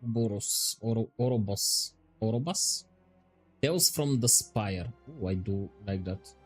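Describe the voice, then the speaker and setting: A man streaming a game, chatting casually and musing aloud, his voice turning hoarse and scratchy. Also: lowish voice, faint music behind. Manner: relaxed, musing aloud, drawn-out words. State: voice going hoarse, scratchy throat. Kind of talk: casual livestream chat while gaming.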